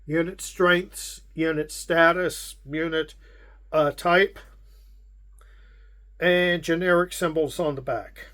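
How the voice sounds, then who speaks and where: A man with a Pittsburgh accent, hosting a casual unboxing and walking through the contents in a measured way with frequent pauses. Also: on the high side, fairly even intonation, a bit bright. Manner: unhurried, measured, with frequent hesitations. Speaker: wargame channel host.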